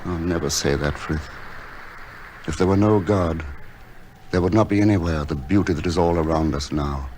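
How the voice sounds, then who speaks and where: A man playing a gentle, noble, resolute recluse in a radio play, speaking with solemn, theatrical earnestness. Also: rumbling low, normal speed, long pauses. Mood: heavy-hearted. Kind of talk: dramatic radio play acting.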